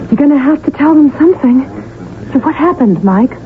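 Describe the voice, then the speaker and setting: A woman's voice: sits high, expressive, a little fast. An actress playing an anxious but practical airline crew woman in a 1970s radio drama, worried and questioning. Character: anxious, practical female crew member, captain's confidante. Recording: tinny radio drama with music behind.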